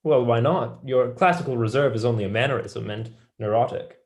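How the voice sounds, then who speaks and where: A man's voice: mid-range voice, a little fast, a little mellow, lightly acted. A young man, a student actor, playing a wry, dry-witted mathematician in a staged play reading. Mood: teasing, pleading. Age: young adult.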